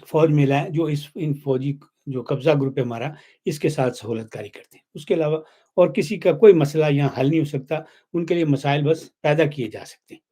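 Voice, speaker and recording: Pakistani guy hosting political commentary, blunt and critical, soft mellow tone, voice a bit high.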